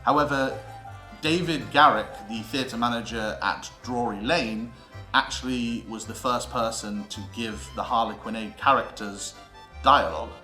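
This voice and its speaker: A British man giving a scripted educational narration as a theatre history presenter, with an emphatic, theatrical delivery and deliberate pauses. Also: medium pitch, bright, forceful tone. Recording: roomy echo with music underneath.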